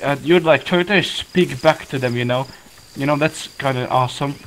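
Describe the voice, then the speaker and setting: A man's voice: medium pitch, normal speed, fairly bright tone, a little hiss or hum. A young man from Latvia with a Latvian accent, chatting casually and laughing with teammates while playing a multiplayer game. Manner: laughing, swearing, loose English. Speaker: gamer streamer.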